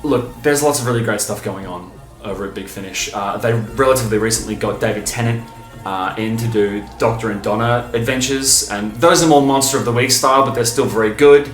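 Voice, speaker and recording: A man's voice: medium pitch, faint music behind. Emphatic man vlogging in a roomy space. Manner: emphatic, stop-start delivery with pauses for breath. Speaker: video host and fan reviewer. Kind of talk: enthusiastic, informal video commentary.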